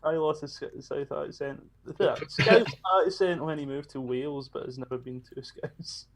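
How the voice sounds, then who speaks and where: A man's voice: fairly high pitch, fairly even intonation, normal speed. A man hosting a casual chat, blunt and joking, swearing freely. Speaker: podcast host.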